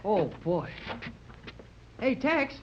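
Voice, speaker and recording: Eager, high-voiced boy radio operator. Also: very slow, noisy background, full character voice. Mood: alarmed, excited. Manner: excitable, boyish exclamations. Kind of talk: 1930s radio serial acting. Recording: dull, muffled 1930s radio recording.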